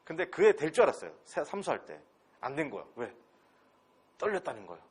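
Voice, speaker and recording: A man with a Gyeongsang dialect lectures an English class in a husky, slightly breathy voice. His pitch swings up and down freely as he fires rhetorical questions at the students. He keeps it blunt and casual, with some crude slang. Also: wildly swooping pitch, fairly quick. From Pohang, South Korea. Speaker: English instructor at a transfer-exam academy.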